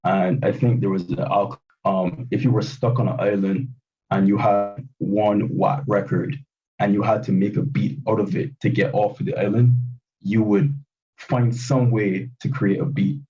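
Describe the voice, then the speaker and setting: A man in his twenties, a guest producer answering interview questions in a relaxed, hesitant way with many pauses. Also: lowish voice. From Barbados. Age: 20s. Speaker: guest hip hop producer. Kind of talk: casual podcast interview. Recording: muffled, distant remote call audio.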